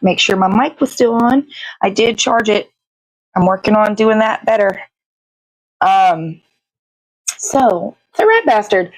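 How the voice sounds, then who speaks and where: A woman with a middle-pitched voice chats casually as a livestream host. She meanders through her thoughts, stops to hunt for the right word and swears offhandedly. The sound is dry, with very little room echo. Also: darkish tone. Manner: meandering, searching for words, casually profane. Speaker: live show host. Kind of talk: casual rambling livestream chat.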